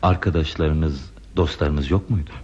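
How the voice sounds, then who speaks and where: An actor playing a gentle, attentive man in a radio play, speaking politely and patiently as he reassures and probes. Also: very deep voice, unhurried, slightly rough, heavily acted. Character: gentle, attentive male confidant, courteous and patient. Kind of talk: Turkish radio theatre acting. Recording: muffled lo-fi radio drama, faint noise.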